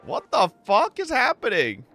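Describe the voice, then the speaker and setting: A male streamer with a fairly high voice that swings widely in pitch. He gives casual, sarcastic, swear-filled reactions to his game. Game music plays clearly behind him. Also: wildly swooping pitch, unhurried, pushed and bright. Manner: profane, slangy, sarcastic. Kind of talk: casual reaction commentary to chat.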